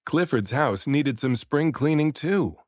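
A man reading a children's picture book aloud as an expressive storybook narrator, varying his delivery for emphasis. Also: on the high side, measured pace, no room sound, clean studio sound. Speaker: children's book reader, dad and voice actor.

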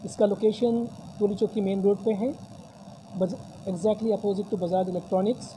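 A man's voice: high voice, steady tone, normal speed, background noise. A man answering reporters' questions at a press conference, formal and promotional, often using religious phrases. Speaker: convention hall representative. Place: decorated hall at a press table. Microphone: many news mics on a table.

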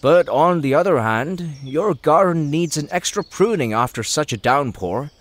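A man's voice: fairly high pitch, expressive, normal speed. A man voicing a humble, friendly middle-aged hobbit gardener, deferential and chatty, in an audio drama. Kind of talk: audio drama character acting.

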